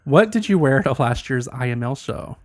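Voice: medium pitch, expressive, dry recording, studio quality. Teasing podcast host rattling off questions. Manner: teasing, asks rapid lists of questions. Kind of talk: casual chat.

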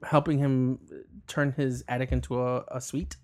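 A man's voice: mid-range voice, little pitch movement, normal speed, a little mellow. A young man chatting casually as a podcast guest, laughing often and swearing offhandedly. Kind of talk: casual chat.

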